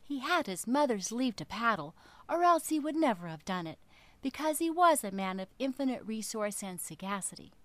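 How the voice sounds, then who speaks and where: Female storyteller for kids' classics: fairly high voice, dramatic and deliberate, close dry recording. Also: sing-song, fairly bright tone, no echo. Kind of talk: expressive children's story narration.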